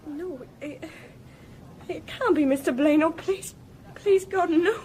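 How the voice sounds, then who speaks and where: An actress playing an emotional, impulsive young English housemaid in a period radio drama, with an English accent. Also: female voice, very high-pitched, slow, a little mellow. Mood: distraught.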